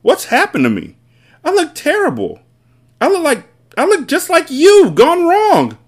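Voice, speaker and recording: A man voicing a blustering, vain middle-aged father in a storybook, yelling with indignant exclamations. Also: very high voice, huge pitch swings, moderate pace, gravelly, husky, voice pushed up, full character voice. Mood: wailing panic.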